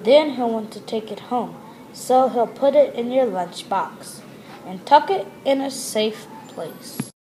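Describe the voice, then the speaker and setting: A woman reading a children's picture book aloud, deliberately and phrase by phrase. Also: on the high side, warm tone, slight background noise, rough recording. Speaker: storybook reader.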